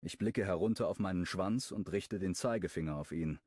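A man narrating a first-person romance audiobook in a calm, wry tone. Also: low voice, brisk, no echo, studio quality.

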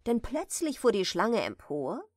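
A woman softly reading a bedtime fairy tale in a gentle, soothing manner, at times close to a whisper. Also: a little high, sing-song, a little slow, no echo, soft background music. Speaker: audiobook reader, storyteller.

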